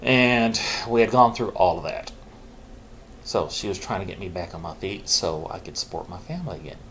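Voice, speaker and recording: A middle-aged man speaks in a fairly low voice, telling his own story in a candid, reflective way. He pauses, restarts and keeps his naturally loud voice reined in. A faint hiss sits under the recording. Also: animated. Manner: says he is keeping his loud voice low on purpose. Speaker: vlogger sharing his mental health story.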